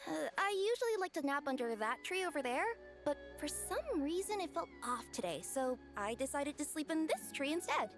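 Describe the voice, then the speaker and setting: A friendly cat-spirit delivery girl, with a very high, lively voice. She sounds easygoing and chatty over light game music. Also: moderate pace, few pauses. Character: cheerful cat-spirit woman courier. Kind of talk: game voice acting.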